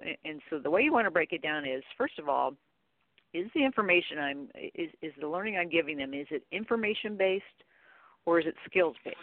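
A woman's voice: sits low, no echo. A middle-aged woman giving conversational advice as an expert guest in an interview. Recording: dry, thin phone line.

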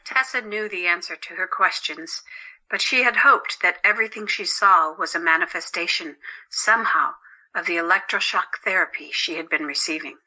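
A woman's voice: on the low side, normal speed, bright, forceful tone, rough recording. A woman reading scripted story narration for an audio drama.

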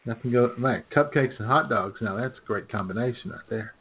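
A man's voice: medium pitch, fairly quick, husky. A man co-hosting an internet radio show with casual comedic banter, joking and often laughing as he talks. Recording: thin phone-like internet radio audio.

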